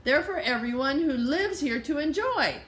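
A stern, scolding storybook policeman, read aloud by a woman who stays firm and stiff. Her voice sits a little high even for him. The room around her has a clear echo. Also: normal speed. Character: stern grown man, a park policeman. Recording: echoey room.

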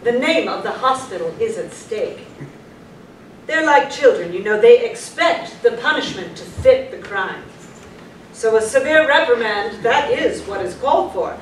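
A woman playing a stern, proper hospital worker in a staged play reading, formal and deliberate. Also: a little high, animated. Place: small indoor venue with audience. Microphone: far camera. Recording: echoey room, distant mic, faint noise.

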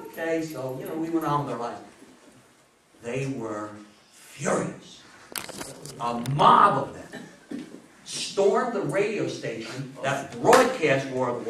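An American man in his sixties giving an informal, animated talk to an audience, repeating phrases for emphasis. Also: a little high. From United States. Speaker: public speaker on radio history. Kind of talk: informal live lecture to an audience. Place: small meeting room, indoors. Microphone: far camera microphone. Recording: roomy, noisy, distant camera microphone.

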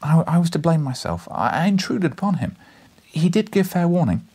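A man's voice: sing-song, moderate pace, a little mellow, slightly rough, full character voice. A man voicing an indignant, flustered young man who stammers defiantly in an audiobook. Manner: stammering, high-strung.

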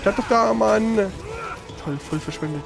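High-pitched, creaky, grumbling male gamer. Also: a little slow. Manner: grumbling, irritable, blunt. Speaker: let's player. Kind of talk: casual gaming commentary. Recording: game music behind. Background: video game music.

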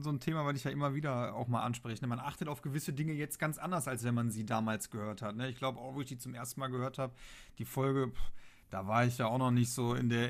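Grown man chatting on a podcast, medium pitch, thinking out loud, kinda reflective. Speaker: podcast speaker. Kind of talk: casual chat.

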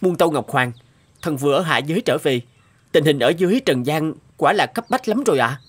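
A man voicing an earnest, dutiful old cloud god in an animated fairy tale, formal and respectful. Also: on the high side, a little fast, breathy edge. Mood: urgent, respectful. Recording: music under the voice.

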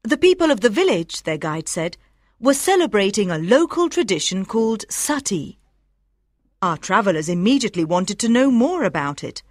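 Animated woman narrating an audiobook. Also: medium pitch, normal speed, no echo, clean studio sound. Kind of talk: scripted story narration for language learners.

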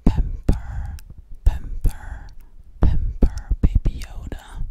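A young woman speaks entirely in a soft, breathy ASMR whisper, pressed close to a foam microphone in a small bedroom. She talks extremely slowly, drawing out and repeating single trigger words again and again. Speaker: ASMR creator. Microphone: large foam mic held close to mouth.

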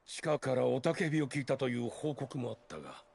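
An actor playing a gruff, middle-aged male vigilante leader in a video game, speaking in a firm, earnest way. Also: mid-range voice, lively intonation, racing, a little mellow. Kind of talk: Japanese video game voice acting.

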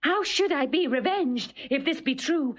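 High-voiced, proud young princess. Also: female voice, expressive, unhurried. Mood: shaken. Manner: formal, theatrical, emotional. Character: proud, virtuous young British princess, dignified and loyal. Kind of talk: classical Shakespearean audio drama acting.

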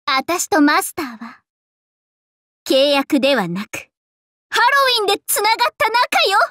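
Super high girly anime game dragon princess, vain and playful, voice swooping everywhere, dry recording. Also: sing-song, measured pace, pushed and bright, dry recording, full character voice. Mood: smug. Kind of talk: Japanese anime game character voice lines.

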